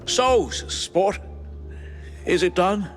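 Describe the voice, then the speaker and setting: An actor playing an excitable cartoon detective's sidekick, eager and jittery, who stutters on his words. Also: high-pitched, takes it slowly, faint music behind. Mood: eager, anxious.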